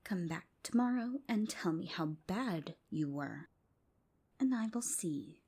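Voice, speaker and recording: A woman's voice: lowish voice, lively intonation, slow, a little mellow, husky, full character voice. A woman voicing a gleefully wicked young girl who teases and coaxes, in a whispery ASMR folk tale. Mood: teasing. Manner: teasing, laughing, coaxing.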